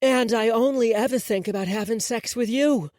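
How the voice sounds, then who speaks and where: A man voicing a sharp-tongued young woman who answers with dry mocking wit. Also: very high voice, moderate pace, dry recording, voice pushed up.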